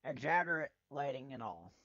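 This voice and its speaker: A man's voice: mid-range voice, sing-song, moderate pace, bright, forceful tone, no echo. Theatrical man reading fiction, dull lo-fi sound. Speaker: amateur fanfiction reader. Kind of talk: dramatic first-person story narration.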